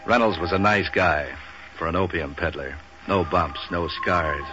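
A man playing a world-weary, sardonic tough guy for hire, deadpan and clipped in hardboiled 1940s radio style. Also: low-pitched, unhurried, loud music behind the voice. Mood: wry narration. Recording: noisy, dull vintage radio recording.

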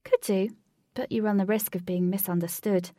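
A woman's voice: mid-range voice, fairly even intonation, normal speed, mellow, dry recording, studio quality, close to an everyday voice. A woman voicing a frank, level-headed young woman with a casual manner, in a story. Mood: advising. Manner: casual, matter-of-fact.

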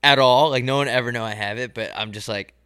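A man's voice: mid-range voice, fast talker, pushed and bright, clean tone, no room sound, studio quality. A young man co-hosting a podcast with crude, joking banter and a slightly congested voice. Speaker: podcast co-host. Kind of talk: casual chat.

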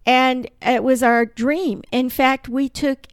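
A middle-aged woman from Colombia, Spanish her first language, telling her life story as a podcast guest in an earnest, animated way. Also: sits high, takes it slowly, clear, resonant tone, no room sound, clean studio sound. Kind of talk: personal testimony in an interview.

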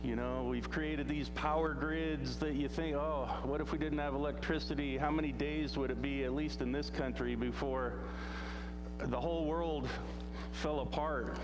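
A man's voice: fairly high pitch, steady tone, normal speed, over loud music, low-quality recording. A man preaching an informal sermon in a church, talking in a loose, rambling way with plenty of fillers and restarts. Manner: says he has a loud voice. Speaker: pastor.

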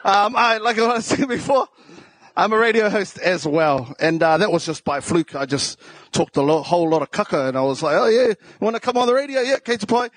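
A man's voice: high voice, fairly quick, a bit bright, no room sound. A man with a South Auckland New Zealand accent telling stories casually on stage as a comedian, joking and self-deprecating in slangy speech. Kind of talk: casual comedic live storytelling.

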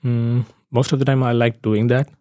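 A man's voice: mid-range voice, little pitch movement, normal speed, dark tone. A young man from Sri Lanka, a biotechnology master's student, introducing himself casually with many hesitant fillers. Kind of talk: casual self-introduction for a lab video.

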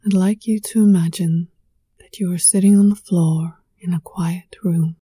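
A woman leading a guided meditation in a soft, breathy voice that often sinks near a whisper, hushed, soothing and unhurried, with poetic scripted phrasing. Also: on the low side, steady tone, dry recording, studio quality. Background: music.

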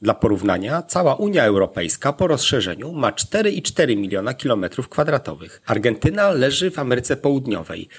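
Husky, lively male narrator. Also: medium pitch. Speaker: presenter. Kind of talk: scripted explainer narration.

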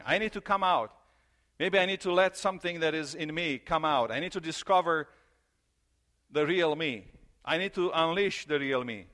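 A man preaching a sermon in English, his second language, earnest and repeating key phrases, slowing down for emphasis. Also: fairly high pitch, fairly bright tone. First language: not English. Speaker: pastor.

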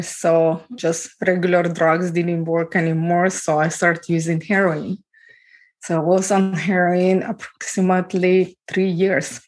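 A woman from Ukraine speaking English with a Ukrainian accent and small grammar slips, telling her life story as an interview guest in a steady, matter-of-fact way. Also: fairly low pitch. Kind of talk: personal testimony in an interview.

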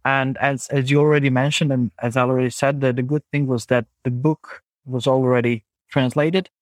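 A man from Croatia, speaking English with a Croatian accent, talks as a podcast guest in an earnest, halting way with frequent restarts. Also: mid-range voice, fairly even intonation, no echo. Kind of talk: podcast conversation.

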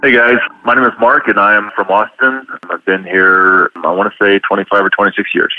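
A middle-aged man from Arizona calling in to a show and telling a long story in an unhurried, rambling way. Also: on the low side, fairly even intonation. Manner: rambling, unhurried, many ums. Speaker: caller. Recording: tinny phone line.

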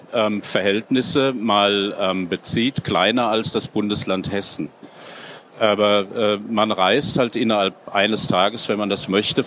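A middle-aged German man with a lowish voice talks as a panel guest, measured and thoughtful, pausing with small hesitations. A faint hum of ambience sits behind him. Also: fairly bright tone. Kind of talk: panel talk at a trade fair.

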